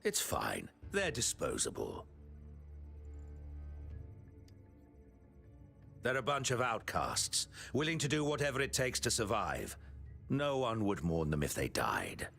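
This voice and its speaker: An actor playing an obsessive, callous puppet-maker villain in a video game, theatrical, swinging between musing and menace. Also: male voice, on the low side, huge pitch swings, normal speed, gravelly, a bit bright, faint music behind, full character voice. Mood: smug, dismissive.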